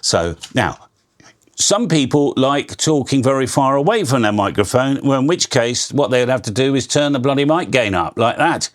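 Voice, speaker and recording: A man giving a casual hands-on radio tutorial, a loud, energetic talker who explains in a chatty way with frequent restarts. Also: medium pitch, normal speed. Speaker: radio channel host.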